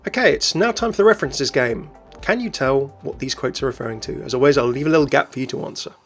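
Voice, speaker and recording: A man's voice: mid-range voice, expressive, fast talker. A man narrating a gaming commentary voice-over. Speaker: video creator, narrator. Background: faint music.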